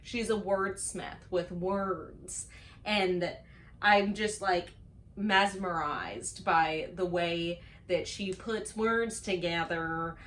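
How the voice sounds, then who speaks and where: A woman's voice: on the low side, drawn-out words, a bit bright, slight background noise. A woman chatting casually to camera as a book reviewer, playful and self-mocking, stretching words for dramatic emphasis. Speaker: book reviewer on a video channel.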